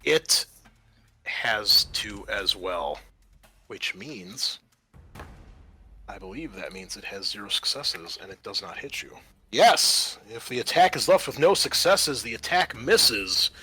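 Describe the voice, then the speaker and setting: A man's voice: on the low side, expressive, slow, pushed and bright, husky. A man acting as game master, casually narrating a tabletop roleplaying game and explaining rules in informal, sweary talk.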